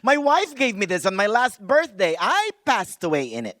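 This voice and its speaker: Guardian angel, male actor: old, innocent, cheery, high and very animated, radio-play delivery. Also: moderate pace, dry recording, studio quality, heavily acted. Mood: earnest, chirpy. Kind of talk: live radio play acting.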